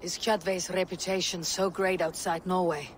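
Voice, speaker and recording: A woman playing a proud, vengeful young Viking warrior in a game drama, speaking in solemn, measured, archaic phrases. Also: on the low side, flat delivery, slight background noise, close to an everyday voice. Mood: guarded. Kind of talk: cinematic video game drama.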